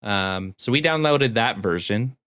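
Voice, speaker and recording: Enthusiastic man with a lowish, dry voice. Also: expressive, unhurried, fairly bright tone, smooth, dry recording. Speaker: podcast co-host. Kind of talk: casual chat about video games.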